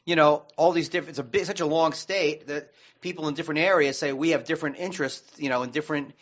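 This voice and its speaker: An American man co-hosting a radio show in casual discussion, rambling haltingly and often restarting mid-sentence. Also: on the high side, fairly even intonation, a bit bright, highs cut off.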